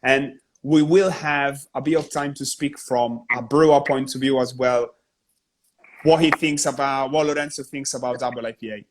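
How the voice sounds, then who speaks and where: A man's voice: medium pitch, fairly even intonation, moderate pace, slight background noise, low-quality recording. A man hosting a live interview stream, chatty and enthusiastic. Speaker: host. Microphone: video call.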